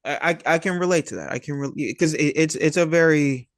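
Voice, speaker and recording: An American man in his thirties from Long Island, chatting casually as an interview guest in a halting, searching, slangy way. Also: a little high, no room sound, studio quality. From Long Island, New York, USA. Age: 30s. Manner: halting and searching, laid-back slangy, often saying "man" and "you know". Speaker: guest, actor.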